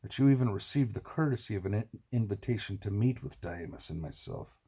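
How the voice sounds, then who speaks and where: A man voicing a bitter, scornful nobleman in an audiobook, speaking forcefully and mockingly in a husky voice. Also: on the low side, expressive, fairly quick, full character voice. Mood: mocking, accusing. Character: bitter, scornful, wealthy half-Trojan man.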